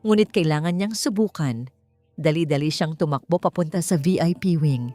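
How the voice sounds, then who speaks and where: A woman with a Filipino accent narrating a scripted dramatic story in an expressive, theatrical manner. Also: sits low, normal speed, no echo, faint music behind. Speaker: audiobook narrator.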